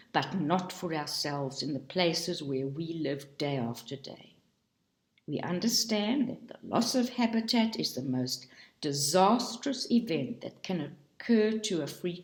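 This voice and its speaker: A woman reading a book aloud in a slow, measured way, now and then stumbling over a word. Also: reverberant. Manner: slow, measured reading with lively pitch and occasional slips. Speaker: reader.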